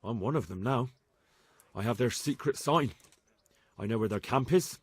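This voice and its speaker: An actor playing an earnest young medieval spy in a game, plain-spoken and respectful. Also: male voice, medium pitch, lively intonation, moderate pace, slightly rough, no room sound. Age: young adult. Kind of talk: video game cutscene acting.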